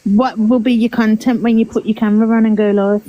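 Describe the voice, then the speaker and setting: A woman's voice: on the high side, level tone, a little fast, muffled recording, slight background noise. A woman co-hosting a phone live stream in casual chat, curious and full of questions for the guests.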